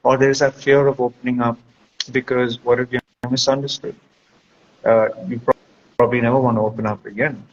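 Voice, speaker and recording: A man's voice: mid-range voice, little pitch movement, brisk. A man speaks as a guest counselor, giving reflective, conversational advice and often checking in with the listener. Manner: reflective, explanatory, checks with "right?". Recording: dull lo-fi audio with clear background noise.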